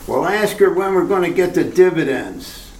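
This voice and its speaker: A man playing a germ-phobic male gangster in a retro radio drama, talking gruffly in tough-guy slang. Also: fairly high pitch, expressive, moderate pace, a little hiss or hum. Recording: roomy echo.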